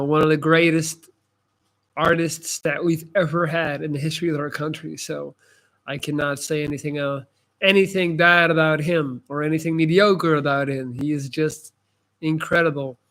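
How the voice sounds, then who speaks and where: A man's voice: fairly high pitch, fairly even intonation, normal speed, fairly bright tone. A Belgian man, a native Dutch speaker with an American-sounding accent, chatting casually as he hosts a livestream, informal and emphatic with slangy asides. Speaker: livestream host giving advice. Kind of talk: casual live chat, answering comments.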